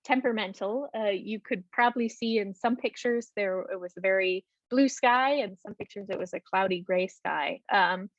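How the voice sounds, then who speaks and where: A woman's voice: medium pitch, moderate pace, few pauses, dry recording. A woman presenting a travel talk and answering audience questions over a video call, hesitant with many ums and restarts. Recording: dull lo-fi video call audio.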